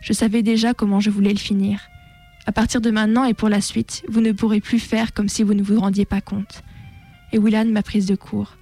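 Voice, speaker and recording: A woman reading a written first-person testimony aloud on the radio in a solemn, measured way. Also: medium pitch, little pitch movement, warm tone, soft background music. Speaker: radio show contributor reading a testimony on air.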